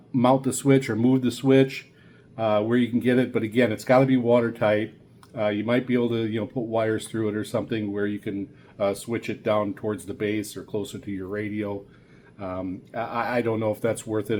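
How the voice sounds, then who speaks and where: A middle-aged man giving a casual, unscripted equipment review as a video host, explaining in a halting, repetitive way. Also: on the low side, darkish tone, a little hiss or hum. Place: small indoor room at a radio desk.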